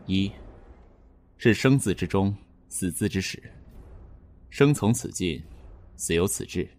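An actor playing an eerie, matter-of-fact fortune teller in an audio drama, speaking in a measured, solemn, unyielding way. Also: male voice, sits low, lively intonation, a little mellow, a little hiss or hum, exaggerated voice. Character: eerie, matter-of-fact male fortune teller who divines from written characters. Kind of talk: Chinese audio drama acting.

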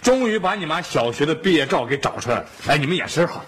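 A man playing a sardonic, excitable middle-aged husband and father in a family sitcom. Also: on the high side, fast talker, a loud crowd. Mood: excited. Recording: faint background noise.

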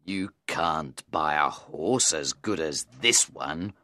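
Woman putting on a sly deep magician. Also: animated, slow, sharp tone, no echo, heavily acted. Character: sly smiling male magician, older man. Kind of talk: storybook reading.

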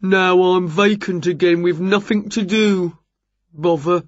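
A woman's voice: lowish voice, steady tone, a little slow, no echo, lo-fi sound. A woman voicing a casual working man, a matey builder in a children's story, with colloquial, offhand talk. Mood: matter-of-fact.

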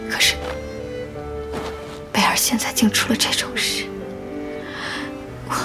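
An actress playing a gentle, earnest grown woman in a modern audio drama, speaking softly and tenderly. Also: takes it slowly, lightly acted. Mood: tender, sorrowful. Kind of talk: modern Chinese radio drama acting. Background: music.

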